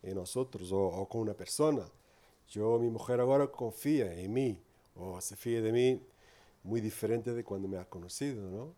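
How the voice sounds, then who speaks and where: Low mellow Portuguese-accented preacher. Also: male voice, moderate pace. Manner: earnest, many tag questions. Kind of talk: informal sermon.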